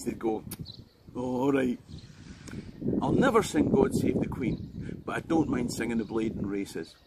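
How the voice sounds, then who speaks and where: Scottish male guide, conversational and wry, loud background noise from outdoors. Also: medium pitch. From Scotland. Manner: jokey and conversational, with asides to the viewer. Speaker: history tour guide. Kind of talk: informal history talk to camera. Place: outdoors on a tidal island.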